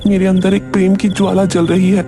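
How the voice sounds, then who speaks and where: A male narrator voicing a young woman who calls a man at night, pleading in a breathy, sing-song way over background music. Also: high-pitched, little pitch movement, a little fast, pitched above the performer's own voice. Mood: luring.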